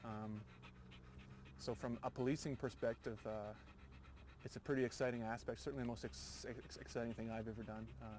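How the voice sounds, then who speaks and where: A man, a police dog handler, speaking in an interview, halting and repeating words. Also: mid-range voice, flat delivery, a little mellow, slight background noise.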